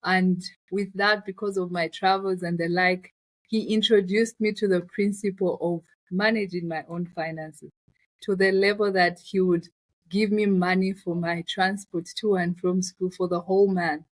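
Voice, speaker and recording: Zambian woman, lowish voice, close dry sound. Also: fairly even intonation, moderate pace, dry recording, low-quality recording. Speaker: interview guest, finance business founder. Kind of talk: reflective personal storytelling in an interview.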